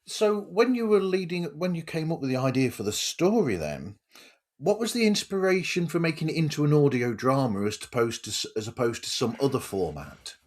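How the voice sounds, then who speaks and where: A man's voice: a little high, big pitch swings, a little fast. A man hosting a casual podcast interview, talking discursively and rephrasing himself as he goes.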